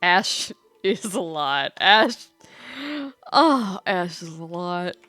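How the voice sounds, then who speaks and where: A woman's voice: fairly low pitch, animated, very slow, bright, forceful tone, no echo. A woman casually commenting on a game she plays, giggly and playful, repeating her phrases. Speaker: streamer reading a story game aloud. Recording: background music. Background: music.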